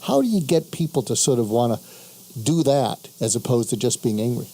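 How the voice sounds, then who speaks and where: A man on a talk show panel, halting and repeating words as he searches for his point. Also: mid-range voice, big pitch swings, mellow.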